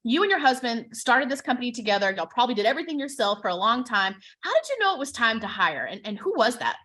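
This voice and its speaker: A woman's voice: a little high, very animated, fast talker, sharp tone. A woman moderating a business panel, upbeat and enthusiastic, often gushing with praise.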